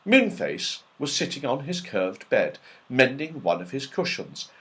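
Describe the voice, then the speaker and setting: A man narrating a children's storybook in an expressive, dramatic way. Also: mid-range voice, a little slow. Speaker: audiobook reader.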